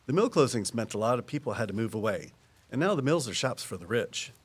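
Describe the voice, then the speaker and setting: A folksy small-town conservation man in an audio drama. His voice is medium in pitch, and he explains things patiently in an easygoing, down-to-earth way. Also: very animated, fast, slightly rough. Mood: explanatory, easygoing.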